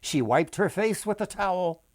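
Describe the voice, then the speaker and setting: A man reading a folktale aloud as its author, in an expressive storytelling style with deliberate, dramatic pauses. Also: high voice, no room sound, studio quality.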